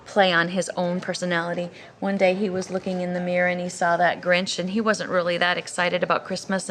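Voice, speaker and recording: American female teacher-host, mid-pitched voice, explaining and reading children's books in an informal, instructive way. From United States. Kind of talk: educational talk with read-aloud of picture books.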